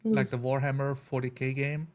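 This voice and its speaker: A man's voice: medium pitch, fairly even intonation, moderate pace, a little mellow. A man chatting casually as a tabletop roleplayer, often repeating and rephrasing his words for emphasis. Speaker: tabletop roleplayer on a gaming show. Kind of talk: casual chat.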